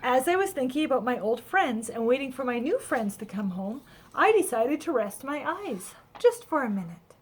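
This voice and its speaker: A woman's voice: sits high, moderate pace, slight background noise. A woman voicing a cheerful, naive little male snowman who chats wide-eyed through his adventure in a children's storybook. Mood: cozy, content. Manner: wide-eyed, chatty, animated. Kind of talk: children's storybook read-aloud.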